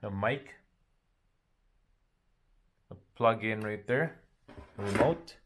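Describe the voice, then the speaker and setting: Low-voiced man doing a casual product demo. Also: drawn-out words. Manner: points things out piece by piece in short phrases. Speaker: product reviewer. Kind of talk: casual unboxing and hands-on demo narration.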